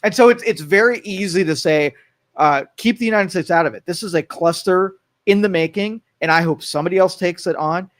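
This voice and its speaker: A man co-hosting a casual political talk show, animated and quick to act out what others might say. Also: high voice. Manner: animated, acts out quoted voices.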